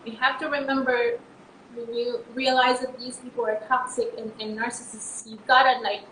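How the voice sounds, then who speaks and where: A woman guest telling her personal story haltingly, with many fillers and restarts, her emphasis rising on key words. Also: on the high side, level tone, fairly bright tone, slight background noise. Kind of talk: emotional personal testimony. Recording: roomy echo.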